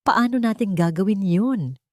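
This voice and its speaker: A woman's voice: medium pitch, lively intonation, a little slow, dry recording, studio quality, lightly acted. A woman voicing a betrayed, determined wife and mother, troubled but firm, in a narrated drama story. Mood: troubled, pleading.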